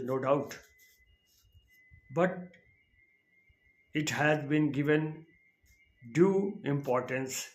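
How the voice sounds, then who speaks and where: An older man from India with an Indian English accent, speaking English as a second language, giving an earnest motivational talk on his podcast and often repeating himself. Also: a little high. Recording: echoey room.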